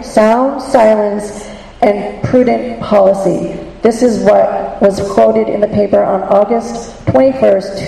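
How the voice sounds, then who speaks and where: A woman testifies at a public hearing, impassioned and indignant. Her voice is gravelly with vocal fry and has a dark, mellow tone. The hall is echoey with a long reverb. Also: medium pitch.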